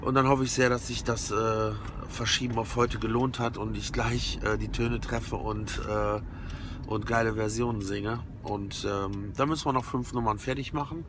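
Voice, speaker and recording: A man's voice: on the low side, fairly even intonation, moderate pace. A man in his forties with a slightly sore throat, talking casually to his phone from inside a car in a rambling way with many hesitations. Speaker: musician keeping a recording diary. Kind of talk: casual video diary. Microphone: phone camera at arm's length. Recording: phone in a car, audible road noise. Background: car and road noise.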